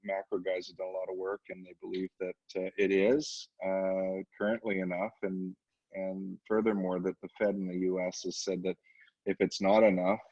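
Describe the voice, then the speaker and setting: A man speaking haltingly as a portfolio manager, giving market commentary on a conference call. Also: deep voice, flat delivery, darkish tone, dry recording. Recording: slightly dull conference line.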